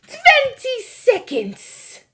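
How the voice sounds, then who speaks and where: A woman's voice: very high-pitched, wildly swooping pitch, very slow, bright, forceful tone, voice pushed up, heavily acted. Shrieking witch queen. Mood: announcing. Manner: shrieking, theatrical. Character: shrill cruel female witch leader.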